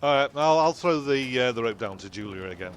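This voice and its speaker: Man playing a flustered, lively castaway. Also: mid-range voice, fairly quick, noisy background, natural voice. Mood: urging. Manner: repetitive, flustered. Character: flustered male castaway, bossy yet apologetic, easily giggling.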